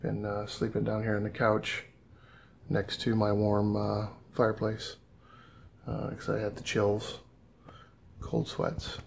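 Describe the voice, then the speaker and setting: A man in his fifties, congested and a little rough, talks in a halting, low-key home video diary, filmed on a phone at arm's length in his living room. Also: monotone, slight background noise. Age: 50s. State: congested, stuffed up. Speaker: vlogger. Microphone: phone held at arm's length.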